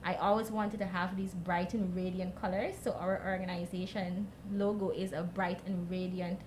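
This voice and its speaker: A woman's voice: fairly low pitch, flat delivery, normal speed, darkish tone. A woman from Guyana with a Guyanese accent, speaking as an interview guest and telling a personal story. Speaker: guest, youth mental health advocate. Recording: clearly noisy background.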